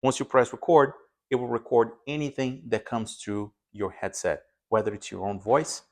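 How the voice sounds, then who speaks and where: A man's voice: mid-range voice, moderate pace. A man hosting an aviation vlog, explaining his equipment in a casual, conversational way. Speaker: aviation vlog host and pilot. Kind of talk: casual explanatory gear walkthrough to camera.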